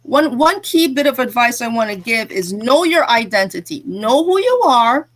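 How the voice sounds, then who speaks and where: A woman's voice: a little high, sing-song, moderate pace, a bit bright. A woman business coach who was born in England and grew up in Jamaica and New Jersey, giving earnest advice in a live interview. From born in England, raised in Jamaica and New Jersey. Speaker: guest, business coach and author.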